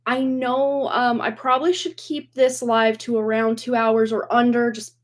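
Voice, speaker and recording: Woman, forties, slightly high voice, slightly worn voice, chatty, rambling livestream host. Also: little pitch movement, a little slow. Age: 40s. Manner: chatty, rambling, laughs often, many restarts. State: recovering from lost voice, slightly worn. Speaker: tarot reader hosting a livestream. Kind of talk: casual livestream chat.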